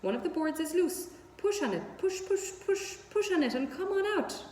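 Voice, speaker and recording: A woman voicing a chatty, bossy female goose in a children's storybook, talking fast and repeating her words. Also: very high-pitched, expressive, mellow, roomy sound, long reverb tail, pitched above the performer's own voice. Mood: urgent, coaxing.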